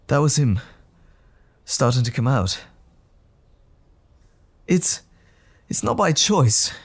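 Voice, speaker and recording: A man playing a troubled, apologetic gentleman scientist in an audio roleplay drama, speaking in a formal, halting, anxious way. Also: mid-range voice, very animated, vocal fry, slightly rough, full character voice. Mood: anxious, strained.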